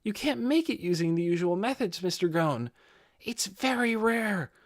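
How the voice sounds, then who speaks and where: A man's voice: high voice, lively intonation, normal speed, no room sound, clean studio sound, pitched above the performer's own voice. A man voicing an earnest, polite, nervous teenage boy in an audiobook, speaking deferentially and apologetically. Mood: apologetic. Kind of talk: audiobook character voice.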